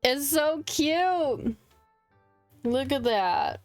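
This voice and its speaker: A woman's voice: high voice, sing-song, drawn-out words, no echo, faint music behind. A woman streaming a game casually, informally reading dialogue aloud and muttering her own reactions in between.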